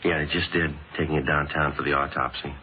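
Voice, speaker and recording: Radio detective sergeant: extremely low voice, quick and deadpan, matter-of-fact, old crime drama sound. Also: male voice. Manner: clipped, deadpan, polite. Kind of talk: 1940s-50s radio drama acting and narration. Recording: noisy, phone-like old radio recording.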